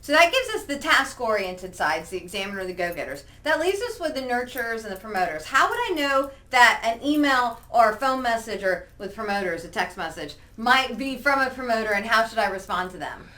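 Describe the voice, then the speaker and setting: A woman's voice: fairly high pitch, sing-song, a little fast, few pauses, pushed and bright. A woman hosting a conversational business tips discussion, prompting with questions and recapping the points. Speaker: host.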